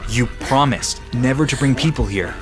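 A man's voice: medium pitch, moderate pace, loud music behind the voice, natural voice. A man acting an intense, shaken and accusing young man in an audio drama. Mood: accusing, distraught.

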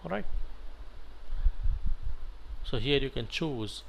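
A man teaching software as an instructor, talking through an on-screen tutorial step by step in halting, instructional phrases. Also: medium pitch, expressive, vocal fry, rough recording. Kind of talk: screen-share tutorial narration, unscripted step-by-step. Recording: noticeable background noise.

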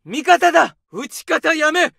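An actor playing a young male Japanese infantry soldier, barking orders and battle shouts as game callouts. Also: very high-pitched, animated, a little slow, vocal fry, dry recording, studio quality, exaggerated voice. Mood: alarmed shouting. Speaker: soldier voice lines.

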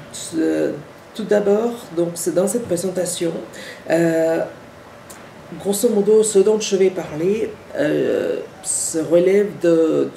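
A low-pitched woman, her voice gravelly and dark, lecturing in a halting way full of restarts. She is recorded from far off in an echoey university seminar room. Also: a little hiss or hum. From Sri Lanka. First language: Sinhala. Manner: halting, with many restarts and repeated words. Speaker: guest lecturer. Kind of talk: academic lecture. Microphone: far camera.

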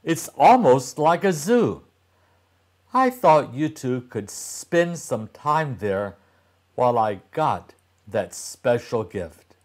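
A man's voice: mid-range voice, sing-song, slow. An older man voicing a patient, reassuring mother in a children's storybook, explaining things enticingly.

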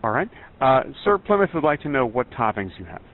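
A man's voice: medium pitch, very animated, brisk. A middle-aged man with an American accent making a polite, straight-faced phone relay on a headset, in a muffled recording. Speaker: prank caller posing as translator. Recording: muffled, band-limited call recording.

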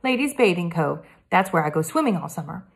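A woman voicing an eager young girl detective, speaking brightly and earnestly. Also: fairly low pitch, big pitch swings, brisk, creaky.

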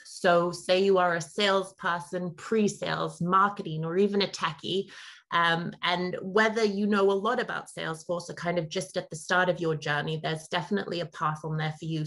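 A woman's voice: lowish voice, fairly bright tone. Upbeat businesslike woman on a video call. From Zimbabwe. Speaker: guest, partner account manager. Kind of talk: video call interview answers. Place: home room, indoors.

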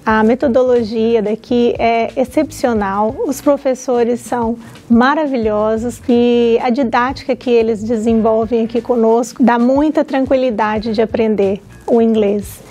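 Mellow, high-voiced woman from Minas Gerais. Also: steady tone, a little slow, hardly any pauses. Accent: Brazilian, Minas Gerais. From Belo Horizonte, Minas Gerais, Brazil. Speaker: student giving testimonial. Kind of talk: testimonial to camera. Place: empty classroom.

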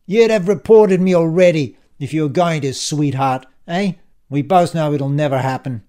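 A man voicing a menacing, foul-mouthed male bully in an audiobook, sneering and contemptuous, with hissed threats and loud bursts. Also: fairly high pitch, normal speed, close to an everyday voice. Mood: hostile contempt. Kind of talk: audiobook character dialogue.

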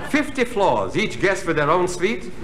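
Animated, unflappable party consultant. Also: male voice, high-pitched, normal speed. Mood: grandly selling. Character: smooth-selling, unflappable bar mitzvah party consultant. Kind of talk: 1960s comedy album sketch acting. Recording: 1960s comedy record with live audience.

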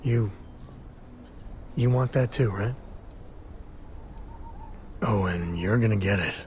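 Slow-talking, earnest space cowboy. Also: male voice, on the low side, darkish tone, a little hiss or hum. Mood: tender, loving. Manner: halting, earnest, sheepish. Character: rugged space cowboy, sincere, bashful, affectionate single father. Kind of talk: intimate scripted game dialogue. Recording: music behind the voice.

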